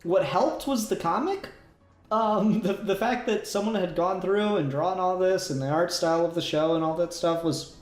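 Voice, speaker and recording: A man giving a casual review as a podcast co-host, speaking in halting, searching phrases. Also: high voice, darkish tone. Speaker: podcast co-host reviewing a fan audio drama. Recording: echoey room sound.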